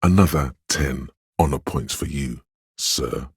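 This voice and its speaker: An actor voicing a solemn, grandiose inner voice of authority in a video game, speaking in a pompous, ceremonious and deliberate manner. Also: male voice, rumbling low, breathy edge, no room sound, clean studio sound, full character voice. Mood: solemn praise. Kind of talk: scripted game voice lines, theatrical narration.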